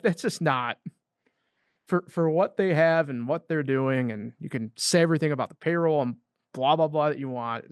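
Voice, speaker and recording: Casual sports talk dude, mid-pitched and rambly, lots of "like", dry close mic. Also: male voice, dry recording. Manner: loose and rambling, heavy on fillers. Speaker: co-host of a sports podcast.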